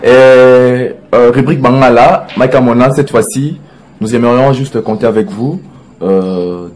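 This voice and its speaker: A man's voice: medium pitch, a little slow, a little mellow. A male TV host running an interview, chatty and affirming, with frequent "voilà". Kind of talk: hosting an interview.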